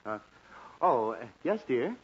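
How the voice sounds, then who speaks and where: A man's voice: mid-range voice, big pitch swings, unhurried. An actor playing an exasperated, fussy middle-aged father in a 1940s radio comedy, stammering and sputtering. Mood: flustered. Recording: muffled, hissy vintage 1940s radio recording.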